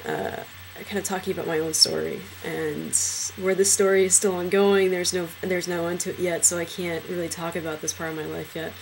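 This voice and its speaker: A young transgender man in a casual personal vlog, talking hesitantly with many fillers and restarts, his trained low voice deepened further by a cold. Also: level tone, brisk, vocal fry, few pauses, slight background noise, poor audio. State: voice lowered by illness.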